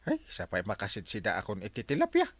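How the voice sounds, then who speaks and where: An actor playing a jolly, scheming village captain in a radio comedy drama, full of exclamations and chuckles. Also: male voice, fairly low pitch, quick pace, dry recording, full character voice. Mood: jovial. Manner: chuckling, animated, lots of exclamations. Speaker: barangay captain.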